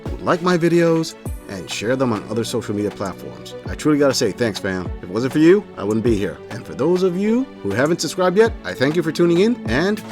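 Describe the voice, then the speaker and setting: A man's voice: mid-range voice, sing-song, a little fast, darkish tone, faint music behind. A man hosting a reaction channel, mocking and blunt as he comments on clips.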